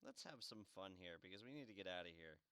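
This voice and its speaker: A man with a lowish, gravelly voice chats through a game as its host. His delivery is dry and casual, recorded close with no room sound. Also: lively intonation, quick pace, bright, forceful tone, no room sound. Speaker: gamer, let's play host.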